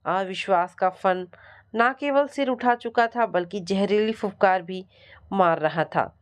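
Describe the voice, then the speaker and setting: A woman narrates a scripted family story in a dramatic storytelling style. Her pace is slow and deliberate, with a mid-range pitch.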